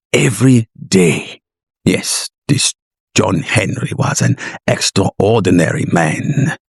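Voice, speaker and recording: A man voicing a proud, fervent middle-aged inventor professor with halting, deliberate phrasing in a gothic audio drama. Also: on the low side, breathy edge, dry recording, clean studio sound, full character voice.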